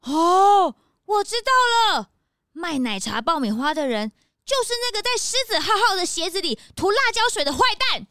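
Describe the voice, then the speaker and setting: Eager little kid sidekick in a detective story for children, squeaky high, super lively, earnest. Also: female voice, normal speed, no echo, clean studio sound, full character voice. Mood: excited. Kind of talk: children's audio drama acting.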